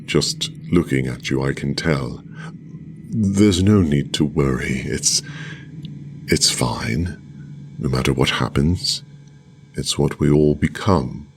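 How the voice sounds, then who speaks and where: A man performing a radio play monologue as a solemn, privileged man of the future chosen for sacrifice, speaking in a measured, formal, halting way. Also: a bass voice, vocal fry, slight background noise, full character voice. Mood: gently reassuring. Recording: music under the voice.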